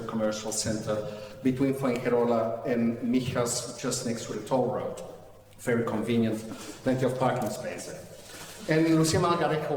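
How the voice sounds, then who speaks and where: A man's voice: mid-range voice, a little mellow. A man from Belgium with a Belgian accent, presenting his firm at a seminar, hesitant with many fillers and restarts. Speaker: financial advisor presenting at a seminar. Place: large echoey hall. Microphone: distant room mic. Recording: echoey hall recording from far off.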